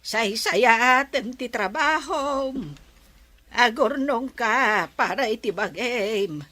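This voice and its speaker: A supportive Ilocano elder, acted by a woman. She speaks slowly and gently in a husky, fairly high voice. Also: dry recording, heavily acted. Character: supportive older female relative.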